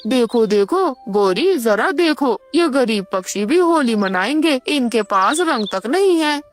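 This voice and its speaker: Woman doing a high-pitched cartoon crow husband. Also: animated, measured pace, soft background music. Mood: mocking. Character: mocking male crow, later remorseful. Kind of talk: children's cartoon story acting.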